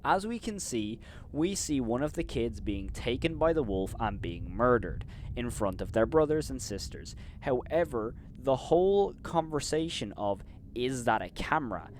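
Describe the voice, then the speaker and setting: A man hosting a commentary video, giving opinionated criticism, leaning on "of course" and "in my opinion" and turning loud and incredulous when he rants. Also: medium pitch, very animated, normal speed, slight background noise. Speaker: host and reviewer.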